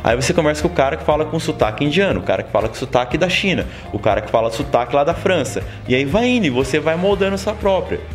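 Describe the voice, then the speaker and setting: Fast-talking man, interior São Paulo accent. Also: a little high, faint music behind. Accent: interior São Paulo Brazilian Portuguese. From Brazil. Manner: storytelling, lively, many "sabe?" tags. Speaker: interview guest. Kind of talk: casual interview talk. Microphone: handheld mic held by interviewer.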